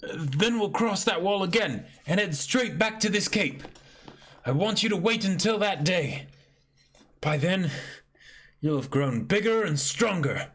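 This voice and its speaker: A man's voice: high voice, lively intonation, a little slow, fairly bright tone, slight background noise. A man reading the part of an earnest, reassuring pirate in a manga. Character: earnest, reassuring male pirate saying farewell.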